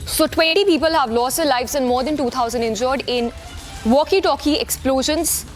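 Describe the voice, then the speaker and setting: News anchor lady, high voice, lots of uhs, bit of a long echo on it. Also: moderate pace, faint music behind. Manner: hesitant, many fillers and restarts. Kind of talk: live news bulletin, ad-libbed.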